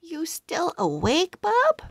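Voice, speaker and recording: Woman voicing a chirpy, high-pitched excitable little girl. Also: slow, no room sound, exaggerated voice. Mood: softly curious. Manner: chirpy, exclaiming. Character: excitable young girl, affectionate pet owner and inventor.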